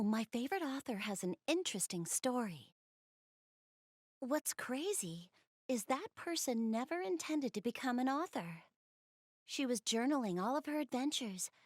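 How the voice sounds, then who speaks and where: A woman's voice: sits high, darkish tone, no room sound, studio quality. A woman voicing a shy, bookish young goddess girl in a video game dub, speaking politely and earnestly with bashful hesitation. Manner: hesitant, bashful, polite, rambling about hobbies. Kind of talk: English video game dub, visual novel dialogue.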